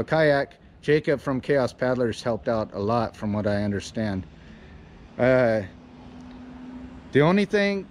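Excited man vlogging outdoors. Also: mid-range voice. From Illinois, USA. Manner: upbeat, chatty, asks viewers for advice. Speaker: fishing channel host. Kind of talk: casual vlog to camera. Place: outdoors in front of a house garage. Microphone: camera at arm's length.

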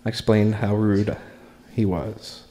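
An American man performs spoken word in a slightly low voice. He takes it very slowly, phrase by measured phrase. The live recording carries a long reverb from the room. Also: level tone, mellow. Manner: deliberate, measured, pausing between short phrases. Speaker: spoken word performer reading own writing. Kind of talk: live open mic poetry and story reading.

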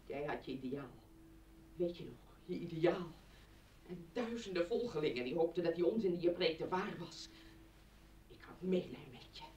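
A woman's voice: on the low side, lively intonation, moderate pace, darkish tone, reverberant, distant mic, slight background noise, natural voice. An actress playing an anguished, accusing woman in a radio play, speaking with raw emotion. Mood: reproachful.

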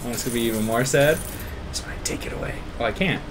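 A man playing a video game, giving casual, excitable commentary full of swearing and self-mocking banter. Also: mid-range voice, racing. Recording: faint game noise behind voice.

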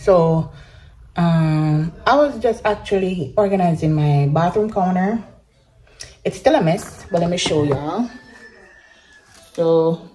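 A young Jamaican woman vlogging at home, talking casually to her phone camera in a chatty, relaxed way and slipping into patois now and then. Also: lowish voice, slight background noise, rough recording. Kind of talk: casual vlog chat to camera. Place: small home bathroom and bedroom, indoors. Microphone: phone camera at arm's length.

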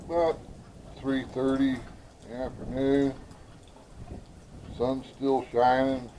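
A man's voice: medium pitch, little pitch movement, slow. A middle-aged man with an American accent chatting casually and folksily in a homestead vlog, filming himself outdoors on a handheld camera. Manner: folksy, chatty, talks to his birds. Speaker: homestead vlogger. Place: outdoors in a snowy farmyard. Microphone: handheld camera at arm's length. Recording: noisy outdoor camera audio, birds clucking.

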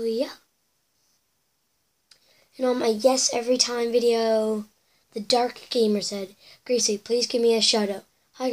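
A teenage girl chatting to her webcam in her bedroom, rambling through viewer comments with whispered asides, her voice a bit cracky from losing it. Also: a little high. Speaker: YouTuber reading viewer comments. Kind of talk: casual chat to camera. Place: bedroom indoors.

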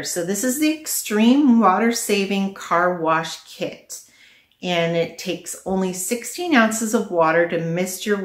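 A middle-aged woman vlogger talks casually through products, thinking aloud as she goes. Her voice sits a little low and moves at a very leisurely pace. The sound is clearly echoey, picked up by a camera mic in a living room. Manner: chatty, unscripted, thinking aloud. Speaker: vlogger presenting a product haul. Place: small living room at home, indoors.

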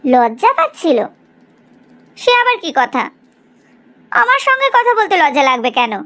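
Very high-pitched, swooping cartoon village mother voiced by a woman. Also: sing-song, moderate pace, fairly bright tone, exaggerated voice. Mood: pleading, insistent. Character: middle-aged village mother, proud, sharp-tongued, visiting or hosting. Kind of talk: Bengali cartoon story dialogue.

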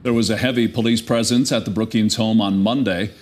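A man reading local news as a co-anchor in a television studio, with a crisp, formal delivery. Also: on the low side, steady tone, fairly quick.

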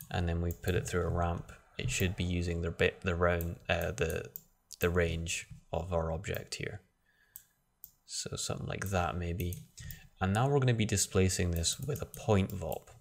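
Low gravelly man teaching software. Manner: relaxed, thinking aloud with frequent restarts. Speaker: software tutorial instructor. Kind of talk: screen-recorded software tutorial.

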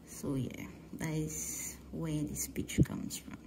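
A woman from Guyana with a mixed Guyanese-Trinidadian accent, talking through a nail art tutorial in a relaxed, halting way with many fillers, often dropping to a near whisper. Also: sits low, low-quality recording. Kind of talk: casual voice-over tutorial.

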